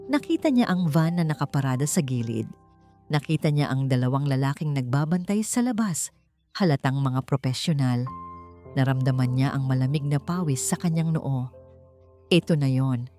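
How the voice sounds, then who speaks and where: A woman's voice: deep voice, dark tone. A woman reading a dramatic scripted story as its narrator.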